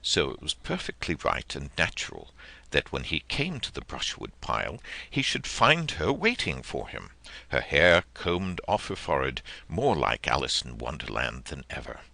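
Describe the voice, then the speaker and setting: A man narrating a literary story as an audiobook, with an expressive, dramatic storytelling manner. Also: rumbling low, normal speed, vocal fry, no room sound.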